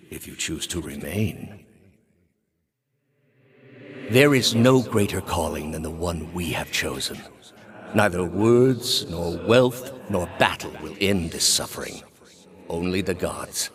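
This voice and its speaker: An actor playing a solemn, pious older high priest in a video game, calm and commanding, with a grave, measured, formal delivery. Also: male voice. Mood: solemn, fervent. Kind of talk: dramatic video game character dialogue.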